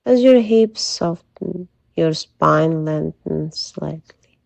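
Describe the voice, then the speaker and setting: A woman leading a guided sleep meditation in a soft, soothing, gentle voice that often drops to a breathy near-whisper. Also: low-pitched, slow pace, dry recording. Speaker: hypnotherapist guide.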